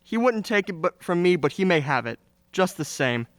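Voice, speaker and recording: A woman acts a good-natured young gentleman in an old-time radio drama. The voice is low for a woman, hearty and animated, with a dry, close sound. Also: moderate pace, no echo. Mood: generous, hearty. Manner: jovial, chuckling, hearty.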